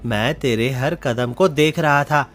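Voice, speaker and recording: A man voicing a stern, reproachful magical animal who passes judgement in an animated moral story. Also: medium pitch, takes it slowly, faint music behind. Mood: solemn. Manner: reproachful, solemn. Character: magical crow and deer turned wolf, stern judge.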